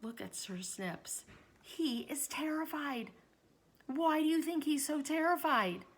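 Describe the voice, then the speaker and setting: A woman reading a children's story aloud, expressively and deliberately, pausing to address young listeners. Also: high voice, lively intonation. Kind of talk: read-aloud narration with questions to young listeners.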